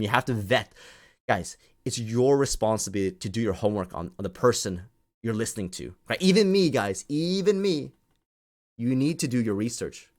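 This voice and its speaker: A man ranting off the cuff to an online audience as a community host, scolding and emphatic, often repeating phrases to drive them home. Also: medium pitch.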